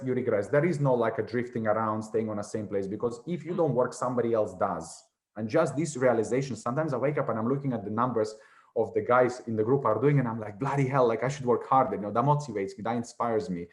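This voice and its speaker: Fast-talking Russian-native man in his twenties. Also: medium pitch, darkish tone. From Latvia. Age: 20s. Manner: rambling, repeats "as I said" and "you know". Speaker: guest. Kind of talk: casual interview chat.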